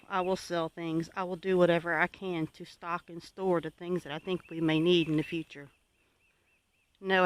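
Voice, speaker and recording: A homesteading channel host gives viewers advice in an earnest, exhorting and deliberate way. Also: medium pitch, fairly bright tone, clear, resonant tone.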